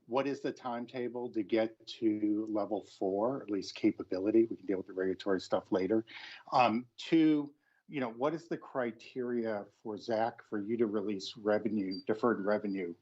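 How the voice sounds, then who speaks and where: Low-voiced analyst on a dull line. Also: male voice, a little mellow. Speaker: financial analyst caller. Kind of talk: earnings call questioning.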